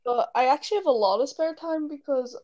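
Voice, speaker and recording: A woman's voice: high-pitched, a little fast, mellow. A young woman with a Northern Irish accent, chatting casually as an interview guest. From Northern Ireland. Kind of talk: casual chat. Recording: dull lo-fi voice chat audio.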